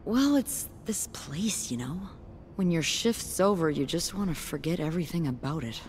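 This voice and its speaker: A woman's voice: deep voice, animated, moderate pace, darkish tone, slight background noise. An actress voicing a wry, playful, self-assured young woman with a teasing manner in a naturalistic video game scene. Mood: playful, candid.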